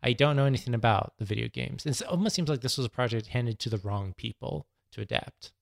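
A man with noticeable vocal fry and a mid-range voice. He talks casually as a podcast co-host discussing movies, rambling a little as he explains his thinking. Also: mid-range voice, fast talker.

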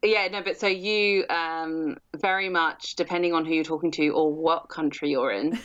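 A woman's voice: low-pitched, fairly even intonation, moderate pace, fairly bright tone, no room sound. A woman chatting casually about a film as a co-host, explaining her points in a considered way, on a slightly dull microphone. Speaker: film discussion podcast host. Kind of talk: casual chat, film discussion.

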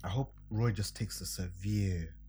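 A man in casual podcast banter, emphatic and animated, often tagging lines with "yeah?". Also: low-pitched, a little slow, slight background noise. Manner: animated, emphatic, tag questions. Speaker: podcast co-host.

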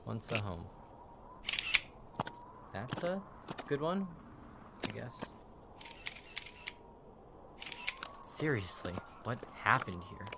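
A man's voice: medium pitch, exaggerated voice. Man playing an earnest young rookie, noisy backdrop. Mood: uneasy muttering. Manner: hesitant, questioning. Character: earnest, unsure young male rookie army photographer. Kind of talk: audio drama acting. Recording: clear background ambience and noise.

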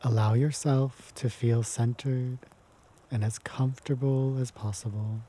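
A man leads a guided meditation in a gentle, unhurried manner, leaving long pauses between phrases. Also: medium pitch, fairly even intonation, slight background noise. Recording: music behind the voice. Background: prominent sound bath instrumental music.

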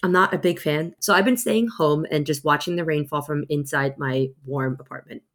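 A woman's voice: low voice, normal speed. A young woman from New York, a visual effects artist, chatting as a podcast guest in a friendly, effusive way and laughing often. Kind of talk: casual interview chat.

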